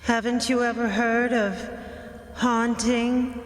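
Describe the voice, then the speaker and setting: An actor playing a stern ghost who coldly lays down the law of the dead in a stage radio play. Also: male voice, very high voice, level tone, echoey room, long reverb tail, noisy background. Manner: cold, stern, measured.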